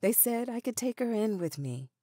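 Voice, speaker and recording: An actress playing a dignified, sentimental older woman in a radio drama, speaking deliberately. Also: fairly high pitch, big pitch swings, mellow, no room sound, clean studio sound. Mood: warm, reminiscing.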